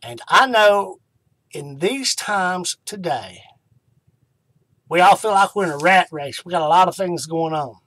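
A middle-aged man hosting an online ministry, talking informally and devotionally to camera in an unhurried way, with emphatic pauses and repeated key phrases. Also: high voice, animated, a bit bright, no room sound, poor audio.

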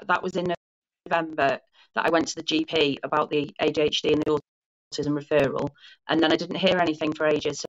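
A woman in her forties with a lowish voice talks through her experiences in a measured, thoughtful way, pausing and correcting herself as she goes. The sound is dull and lo-fi, like audio from a remote call. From Wales. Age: 40s. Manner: thoughtful, explains at length with small restarts and self-corrections. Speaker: guest. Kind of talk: casual conversational interview. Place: home lounge.

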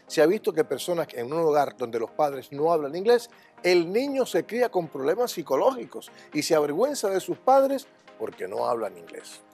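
A man's voice: a little high, big pitch swings, normal speed, darkish tone, faint music behind. An older man speaking as a language school director in a TV studio interview, with an emphatic, confident, instructive way of talking. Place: TV studio set.

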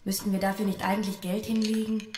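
A woman's voice: medium pitch, level tone, fast, darkish tone, echoey room, far from the mic, a little hiss or hum, close to an everyday voice. An actress playing a cautious, sensible young girl, wary and practical, in a children's audio drama.

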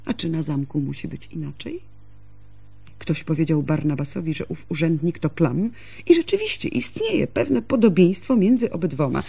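An actress playing an earnest, brooding village woman, telling things in a husky voice with dramatic, deliberate phrasing in a radio play. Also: medium pitch, dark tone, full character voice. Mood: earnest, doubting.

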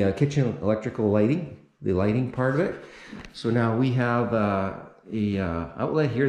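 A middle-aged man with a fairly low voice gives an unscripted walkthrough of a mobile home renovation, explaining the wiring as he points at the walls. He talks loosely, with plenty of fillers and restarts. The sound carries an echo from the empty, bare-walled room, picked up by a camera mic. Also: darkish tone. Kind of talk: casual walkthrough explaining electrical work.

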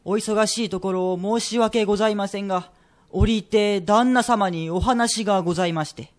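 An actor playing a courteous, greying head clerk in a period radio drama, speaking in a humble, formal, deferential manner. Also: male voice, high-pitched, normal speed, close to an everyday voice.

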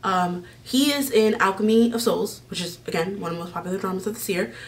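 A woman's voice: medium pitch, a little fast, far from the mic. A young American woman chatting casually to camera as a vlog host, gushing and rambling as she goes. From Maryland, United States. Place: small decorated room indoors.

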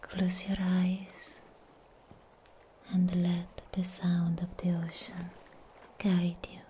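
Woman as serene anime sea guardian for ASMR roleplay: breathy whisper, very slow, mellow, soothing. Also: lowish voice, completely flat delivery, a little hiss or hum.

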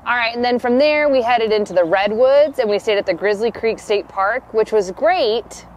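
A woman's voice: a little high, moderate pace, slight background noise. A woman in her thirties co-hosting a family travel vlog, speaking with upbeat enthusiasm while seated outdoors beside a travel trailer. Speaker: vlog co-host. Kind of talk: casual travel chat. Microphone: camera at a distance.

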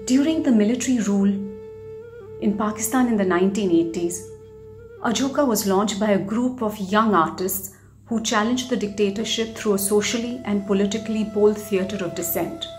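A woman's voice: medium pitch, moderate pace, soft background music. A woman in her thirties, an actor, reading a scripted message aloud. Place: indoor room, plain wall.

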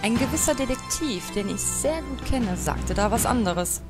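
A woman's voice: on the high side, lively intonation, moderate pace, over music, lightly acted. An actress playing a composed, pointed female interviewer in a German audio drama. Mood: probing. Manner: pointed, questioning.

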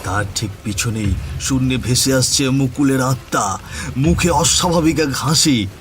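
A man's voice: medium pitch, a little hiss or hum. A man narrating a scripted horror story in a dramatic storytelling style.

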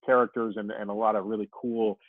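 A man's voice: fairly low pitch, level tone, fast, dry recording, phone-quality sound. A man in his forties hosts a podcast interview from a room lined with bookshelves. His questions come out friendly and rambling, full of restarts. His voice reaches the listener dry and phone-thin over the call. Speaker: podcast host. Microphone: video call.

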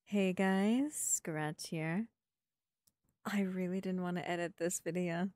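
A woman's voice: on the low side, steady tone, slow pace, darkish tone, dry recording, studio quality. A woman voice actor talking casually and informally to her listeners.

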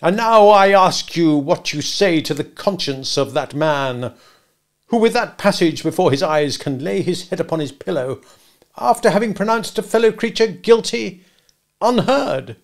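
A man voicing a bullying, sarcastic gentleman who cross-examines like a lawyer in a storybook reading. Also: sits high, lively intonation, moderate pace, pitched above the performer's own voice. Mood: bitter, accusing. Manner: interrogative, sarcastic, emphatic, domineering. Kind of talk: dramatic audiobook character reading.

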